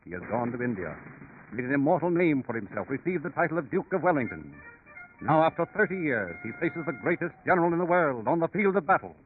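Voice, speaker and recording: A man's voice: a little high, very animated, fast, faint music behind. A man performing as a portentous, dramatic storyteller, narrating in scripted 1930s radio style. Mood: dramatic, suspenseful. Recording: tinny 1930s radio recording.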